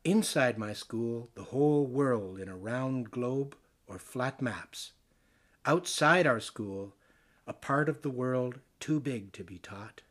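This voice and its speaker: Slow, measured Canadian man reading poetry. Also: medium pitch, fairly bright tone. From Ontario, Canada. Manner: deliberate, theatrical phrasing with pauses. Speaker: actor reading poems aloud. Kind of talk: expressive dramatic poetry reading.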